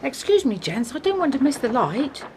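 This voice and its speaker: A woman's voice: high voice, big pitch swings, moderate pace, background noise. An actress playing a gracious, spirited woman campaigner with a refined upper-class English accent in a radio play. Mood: bright, friendly. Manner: polite, refined, encouraging.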